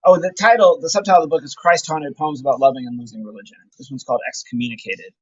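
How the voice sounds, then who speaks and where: Enthusiastic man, higher-pitched voice, dull audio. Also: quick pace, husky, dry recording. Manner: enthusiastic, effusive. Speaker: poet promoting his book. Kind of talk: casual walking video tour. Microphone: handheld camera. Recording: dull lo-fi handheld camera audio.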